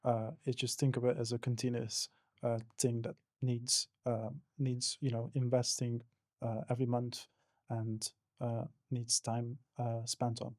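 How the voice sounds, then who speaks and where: Man, Hungarian accent, dark relaxed tone, halting explanatory delivery, very dry sound. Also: medium pitch, no room sound. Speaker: interview guest, digital marketing professional. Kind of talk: casual explanatory interview answers.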